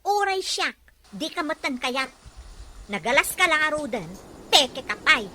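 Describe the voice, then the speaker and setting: A high, animated voice of an embittered young wife in a radio drama, with an Ilocano accent. She complains and scorns with open emotion. Clear noise and birdsong sit behind her. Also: measured pace, exaggerated voice. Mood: angry, scornful. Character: bitter, aggrieved young wife quarrelling with mother and husband.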